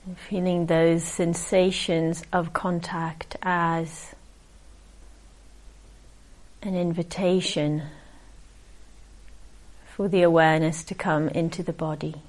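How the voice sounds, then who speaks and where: A woman meditation teacher leading a guided meditation in a gentle, unhurried manner, leaving long pauses. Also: fairly low pitch, level tone, rough recording.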